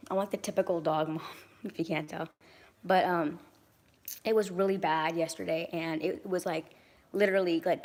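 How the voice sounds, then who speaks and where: A young woman with a hoarse, raspy voice from a sore throat talks casually straight into a phone held at arm's length as she wanders through her house. She rambles with plenty of ums and likes, swears freely, and sits a little low for a woman. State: hoarse from acid reflux. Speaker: vlogger. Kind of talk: casual vlog rant. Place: large home kitchen and rooms, indoors. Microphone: phone camera held at arm's length, walking around.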